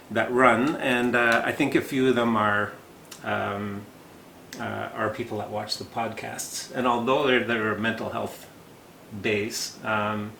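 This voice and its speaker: A man hosting a casual interview podcast, talking haltingly with lots of fillers and easy laughs. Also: fairly low pitch, vocal fry, pushed and bright. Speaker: podcast host. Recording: echoey room with faint noise.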